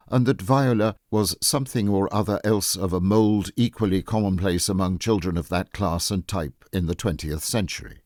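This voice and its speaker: A man narrating a literary short story as a scripted audiobook, in a measured, formal storytelling manner. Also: fairly low pitch, darkish tone, no room sound, clean studio sound.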